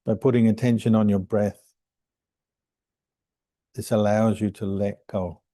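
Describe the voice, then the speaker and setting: A man guiding a meditation as a monk and teacher, speaking calmly and gently with unhurried pauses between phrases. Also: lowish voice, no room sound, studio quality. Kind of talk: guided meditation instruction.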